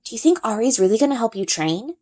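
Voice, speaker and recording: A woman voicing an eager, excitable young girl, a loyal best friend in a storybook. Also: on the high side, fast talker, pitched above the performer's own voice. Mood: excited.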